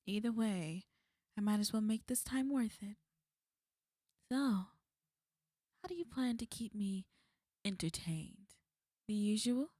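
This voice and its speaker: A woman's voice: medium pitch, animated, slow pace, pauses often, mellow, no room sound, clean studio sound. A woman playing a flirty, possessive villain in an ASMR roleplay, speaking intimately to the listener in a teasing, commanding way. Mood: teasing, casual.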